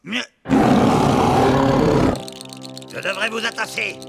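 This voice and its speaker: A man voicing a bossy, menacing wild cat in a French cartoon, with a gravelly, theatrical delivery. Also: sits high, brisk. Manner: theatrical, domineering. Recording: loud music behind the voice.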